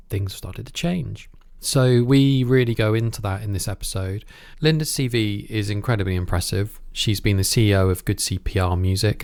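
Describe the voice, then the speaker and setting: Low-voiced man hosting a podcast. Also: no room sound. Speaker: podcast host and interviewer. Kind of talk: conversational podcast intro and interviewing.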